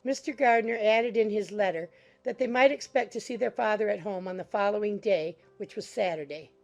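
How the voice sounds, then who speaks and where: A woman narrates a classic novel. Her voice sits at a medium pitch, delivering formal scripted prose as quiet music plays behind. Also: a little fast, vocal fry. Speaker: audiobook narrator. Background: soft background music.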